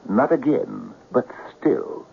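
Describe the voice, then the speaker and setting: Melodramatic fate-obsessed schemer, tinny radio. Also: male voice, medium pitch, animated, takes it slowly, creaky, husky, heavily acted. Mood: portentous pleading. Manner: melodramatic, coaxing, portentous. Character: theatrical, insistent schemer friend obsessed with fate. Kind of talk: old-time radio drama acting. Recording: tinny narrow-band radio recording, faint noise.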